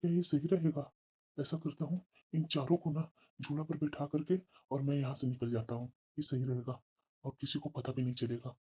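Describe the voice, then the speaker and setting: A woman's voice: a bass voice, level tone, fairly quick, husky. A woman voicing an irritable, scheming father in a family moral cartoon, grumbling and evasive. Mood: furtive, scheming. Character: scheming, irritable father who resents his daughters. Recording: dull, lo-fi cartoon voice track.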